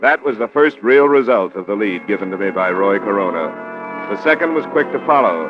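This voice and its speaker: An American actor playing a hard-boiled, world-weary insurance investigator in an old radio drama, with terse, dry, pointed delivery. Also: male voice, fairly low pitch, lively intonation, fairly quick, close to an everyday voice. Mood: tense narration. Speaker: lead actor and narrator. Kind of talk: 1940s-50s radio detective acting. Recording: dull vintage radio recording with music.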